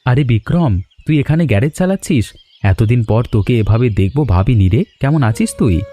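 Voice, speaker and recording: An earnest young man from an animated story, voiced by a man. His tone is soft-edged and dark, with emphatic, devoted delivery and light music behind. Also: medium pitch, brisk, dry recording, close to an everyday voice. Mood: friendly, apologetic.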